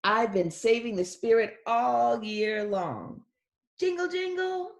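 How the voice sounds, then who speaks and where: A woman's voice: on the high side, animated, takes it slowly, pitched above the performer's own voice. A woman voicing a joyful little girl from a Christmas storybook, drawing out her words and singsonging the sound effects.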